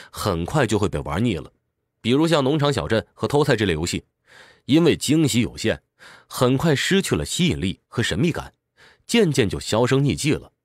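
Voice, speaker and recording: A man's voice: mid-range voice, no room sound, studio quality. A man reading a scripted book digest as narrator, explaining in a structured, instructive way. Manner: clear, structured explaining with rhetorical questions. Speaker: audiobook summary narrator.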